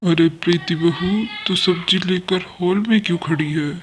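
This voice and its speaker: A woman's voice: on the low side, moderate pace, a little hiss or hum, lightly acted. A woman voicing a reassuring older mother-in-law in a cartoon moral story. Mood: curious.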